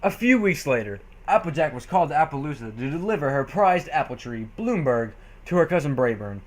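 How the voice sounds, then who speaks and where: A man's voice: on the high side, big pitch swings, fairly quick. A man narrating fan fiction as a comic dramatic reading, amused and often stumbling and correcting himself. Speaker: reader.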